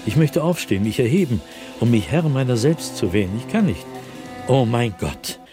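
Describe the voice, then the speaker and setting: A man's voice: medium pitch, sing-song, lightly acted. An actor playing an anguished, educated man who fears madness and an unseen being, in a brooding radio drama diary monologue. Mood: despairing, anguished. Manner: brooding, literary, deliberate phrasing with agitated emphasis. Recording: dry studio with music behind.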